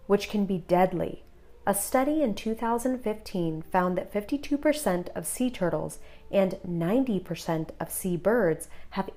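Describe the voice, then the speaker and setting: A woman narrating a children's read-aloud in a deliberate, instructive manner. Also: mid-range voice, darkish tone. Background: music behind the voice.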